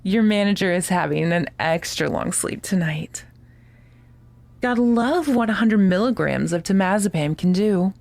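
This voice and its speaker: A woman's voice: medium pitch, animated, creaky, darkish tone, no room sound. A woman playing a deranged, possessive stalker in an audio drama, with a sweetly menacing, taunting delivery. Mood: mock tender. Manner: cooing, taunting, deliberate.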